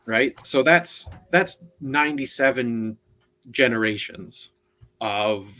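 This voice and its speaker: A man on a book club panel, explaining in a halting way with many restarts and checks of "right?". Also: medium pitch, lively intonation, sharp tone. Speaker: co-host and panelist. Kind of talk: casual online book discussion.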